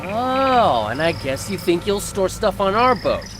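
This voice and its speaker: A man's voice: sits high, sing-song, measured pace, noisy background. An actor playing a wary, skeptical boatman in a video game cutscene. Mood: suspicious.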